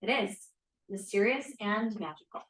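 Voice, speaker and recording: A woman's voice: medium pitch, moderate pace, a bit bright, lightly acted. A woman acting a composed, mysterious leader of a secret society in a script read-through. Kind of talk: table read of a play script. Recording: echoey room.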